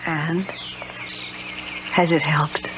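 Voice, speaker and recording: A woman's voice: deep voice, flat delivery, drawn-out words. A woman playing a motherly, concerned but skeptical married confidante in a 1970s radio drama. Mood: concerned, curious. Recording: noisy muffled vintage radio broadcast.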